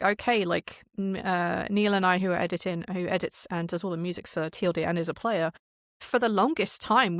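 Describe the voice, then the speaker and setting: A woman's voice: medium pitch, no room sound, clean studio sound. A woman talking casually and candidly in an interview, rambling and often quoting herself as she explains things. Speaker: podcast guest, audio drama producer.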